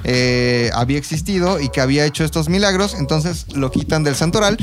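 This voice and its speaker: Mexican man, higher-pitched, telling history casually. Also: brisk. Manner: informal, explanatory, role-plays imagined quotes. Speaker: podcast co-host telling history. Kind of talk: casual gossipy history storytelling with slang. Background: background music.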